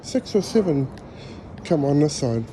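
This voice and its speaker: Slow, relaxed New man outdoors. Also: fairly high pitch, slight background noise. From New Zealand. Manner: relaxed, rambling, with hesitant fillers. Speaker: vlogger. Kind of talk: casual walking tour vlog. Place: outdoors at a school grounds.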